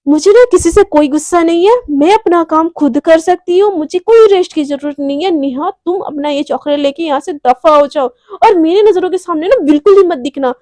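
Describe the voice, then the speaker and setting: Woman acting furious, high-voiced young office woman. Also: steady tone, moderate pace, warm tone, no room sound, close to an everyday voice. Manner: scolding, emphatic, insistent. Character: furious young female office worker, proud and hurt.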